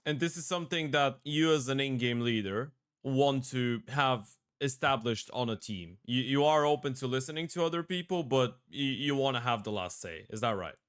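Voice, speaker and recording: Male podcast host, smooth resonant voice, talky questions that circle and restart. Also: medium pitch, normal speed, hardly any pauses, fairly bright tone. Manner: long rambling questions with repeated restarts. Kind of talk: casual interview questions.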